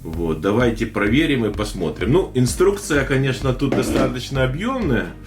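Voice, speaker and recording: A man hosting a casual tech unboxing, talking in a chatty, hesitant way with many fillers and a slightly hoarse throat. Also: medium pitch, lively intonation, moderate pace, over music. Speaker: tech video host.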